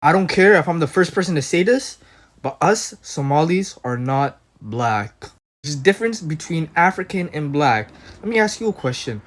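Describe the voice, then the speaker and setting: A man's voice: fairly high pitch, expressive, normal speed. A man making a short, assertive argument, posing questions. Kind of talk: short clip monologue.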